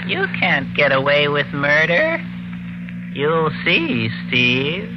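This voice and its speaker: An actor playing a spiteful ventriloquist's dummy in an old radio mystery, needling in sneering slang. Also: male voice, on the high side, very animated, slow. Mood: accusing. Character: taunting, gossiping ventriloquist's dummy, sly and spiteful. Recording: muffled vintage radio recording, faint music.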